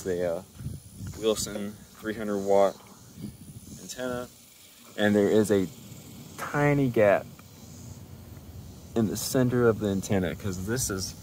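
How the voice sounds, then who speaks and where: Male DIY presenter, low pitch, informal explanatory delivery, lightly noisy recording around a car. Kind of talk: casual hands-on walkthrough.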